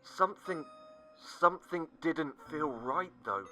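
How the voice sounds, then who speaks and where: A man narrating a scripted first-person horror story, dramatic and sinking to hushed tones at the tense moments. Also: fairly high pitch, lively intonation, unhurried. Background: faint music.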